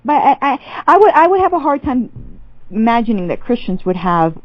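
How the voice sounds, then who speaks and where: A woman's voice: a little high, very animated, moderate pace, no room sound, lo-fi sound. A woman on a podcast as a guest, talking through theology in a thoughtful way with many restarts and fillers. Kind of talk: casual theological interview.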